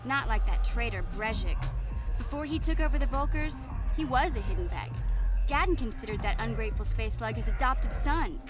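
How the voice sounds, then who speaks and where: A woman acts out a cocky teenage alien street urchin for a video game. The voice is on the high side, sassy and talkative, with clear music playing behind it. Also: lively intonation, normal speed, lightly acted. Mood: confiding, informative. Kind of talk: video game character dialogue.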